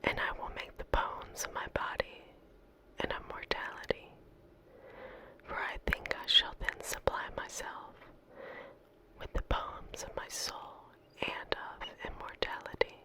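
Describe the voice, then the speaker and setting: Slow whispering woman, ASMR reading. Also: poor audio. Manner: soft, unhurried, gentle delivery with pauses. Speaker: ASMR host reading poetry aloud.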